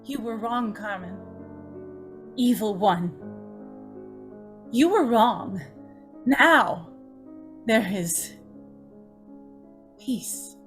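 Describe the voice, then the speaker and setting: An anguished, very high-voiced condemned girl. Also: expressive, very slow, long pauses, faint music behind. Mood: quiet relief. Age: young adult. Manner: pleading, tremulous, intense. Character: anguished young condemned woman haunted by an inner voice. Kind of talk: old-time radio drama acting.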